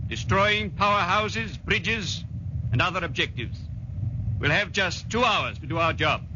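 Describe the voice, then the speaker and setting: An actor playing a firm, businesslike commando officer with a clipped, commanding manner in a 1940s radio drama. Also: male voice, a little high, lightly acted. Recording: muffled, noisy 1940s radio recording.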